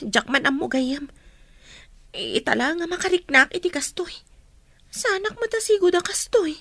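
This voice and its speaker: Hurt young female student played by a woman, radio drama: very high-pitched, indignant, dry sound. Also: lively intonation, moderate pace, a bit bright, no room sound, exaggerated voice. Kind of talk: Ilocano radio drama acting.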